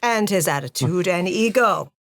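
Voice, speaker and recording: A woman playing a tabletop roleplaying game, speaking slowly and deliberately and swearing casually. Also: on the low side, lively intonation, no echo. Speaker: player.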